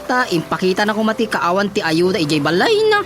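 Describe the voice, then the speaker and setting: A woman's voice: mid-range voice, animated, fast talker, natural voice. A woman playing a bossy, agitating village ringleader in a radio comedy drama. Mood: indignant. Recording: music bed under the voice.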